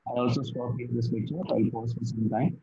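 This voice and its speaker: A man's voice: medium pitch, level tone, fairly quick, warm tone, dull audio, slight background noise. A man tutoring physics, patient, repeating key phrases and checking that his student follows. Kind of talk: one-on-one tutoring session.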